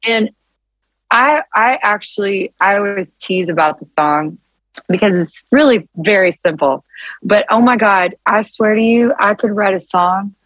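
A woman songwriter with a deeper, low-register voice, chatting casually as an interview guest and telling animated stories with many restarts. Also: unhurried, dry recording, low-quality recording. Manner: animated storytelling with frequent restarts and laughs.

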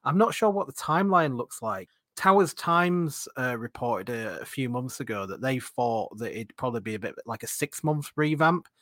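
A man's voice: mid-range voice, expressive, moderate pace, few pauses, fairly bright tone, no echo. A man hosting a casual podcast in a chatty, jokey manner. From northern England. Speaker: podcast host.

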